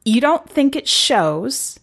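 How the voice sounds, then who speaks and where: A woman's voice: high voice, sing-song, slow pace, vocal fry, voice pushed up, heavily acted. A woman voicing a blunt, dismissive, world-weary young city girl in an audiobook story. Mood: scornful. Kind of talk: audiobook character dialogue.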